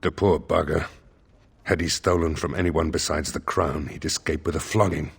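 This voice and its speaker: A stern male seneschal for a fantasy game. His voice is low and rough around the edges. He speaks formally and with measure, with a dry, wry edge. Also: steady tone, moderate pace, heavily acted. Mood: grave, advising. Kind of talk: video game voice acting.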